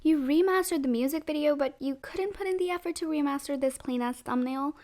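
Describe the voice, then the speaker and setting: A hoarse woman giving sarcastic, opinionated voice-over commentary as a YouTuber reviewing music video thumbnails. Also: high voice, a little fast, a little mellow. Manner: sarcastic, opinionated, playful jabs.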